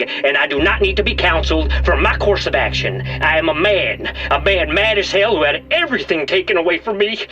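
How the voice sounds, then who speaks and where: An actor playing a defiant, angry hostage-taker in an audio drama, talking emphatically in folksy, repetitive phrases over a telephone. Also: male voice, on the high side, sharp tone. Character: furious, defiant male hostage-taker insisting he is sane. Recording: tinny phone line with faint noise.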